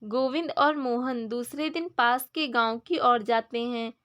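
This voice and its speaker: A woman's voice: a little high, measured pace, a bit bright. A woman narrating a scripted moral story for children in storybook style.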